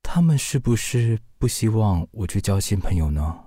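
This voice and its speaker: A male actor playing a gentle, easily flustered widowed father in a radio drama, hesitant and soft-hearted, with a Taiwanese accent. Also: fairly low pitch, big pitch swings, normal speed, slightly rough, no echo. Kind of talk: Mandarin radio drama acting.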